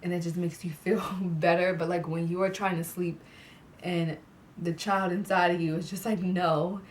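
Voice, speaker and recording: A young woman talks to her camera in a relaxed, chatty vlog, with a slightly low voice and a stuffy, congested nose. She often slips in "like" and little laughs. The mic sits a bit away from her, so she sounds slightly distant. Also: moderate pace, slight background noise. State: congested, clears throat. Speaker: vlogger. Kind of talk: casual pregnancy update vlog. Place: indoor room with fairy lights.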